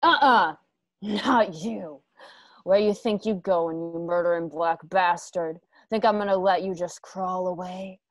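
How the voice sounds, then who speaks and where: Woman acting a slow, menacing Western avenger. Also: fairly low pitch, no room sound, lightly acted. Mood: sadistic, mocking. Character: vengeful, scarred, sadistic Western gunslinger woman with a claw. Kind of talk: Western radio play acting. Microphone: home video call.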